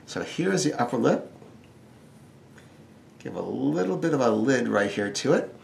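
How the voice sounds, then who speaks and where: A man's voice: mid-range voice, very animated, normal speed, creaky, slight background noise. A man teaching a casual step-by-step drawing tutorial, with wry, joking asides. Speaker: drawing instructor.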